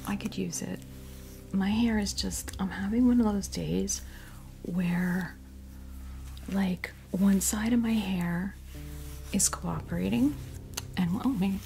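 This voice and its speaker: A woman chatting in a breathy, creaky whisper for an ASMR unboxing video, rambling hesitantly through little asides as the host. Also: mid-range voice, slow pace, pauses often, dark tone. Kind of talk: ASMR, soft rambling chat. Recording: music playing behind the voice. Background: clear background music.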